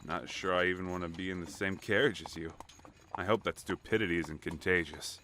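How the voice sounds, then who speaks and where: A man's voice: low voice, fairly even intonation, fairly quick, bright, forceful tone. A man acting a wry, bored traveler and father in a fantasy audio drama. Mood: wry, musing. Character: bored, wry chained-convoy companion, a worried father. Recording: background noise of horse-drawn carriage.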